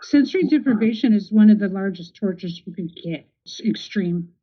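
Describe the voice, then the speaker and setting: A woman's voice: mid-range voice, lively intonation, brisk, breathy edge. A woman hosting a podcast, interviewing casually with supportive affirmations. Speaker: podcast host. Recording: tinny phone-like audio.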